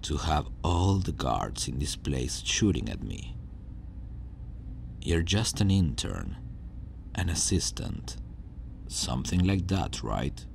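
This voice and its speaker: Brooding supervillain scientist for ASMR roleplay, played by a man: extremely low gravelly voice, slow deliberate delivery, menacing yet regretful. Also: big pitch swings, slight background noise, exaggerated voice. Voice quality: very deep, gravelly. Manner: slow, deliberate, sighing, dramatic pauses. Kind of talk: ASMR roleplay, dramatic acting.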